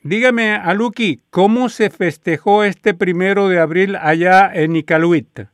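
A man interviewing a guest on the radio, asking measured, polite questions. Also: high-pitched, no room sound.

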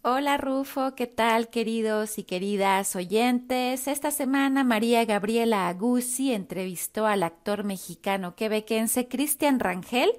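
A woman radio correspondent reading weekly news reports in a clear, friendly manner. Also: a little high, measured pace, few pauses.

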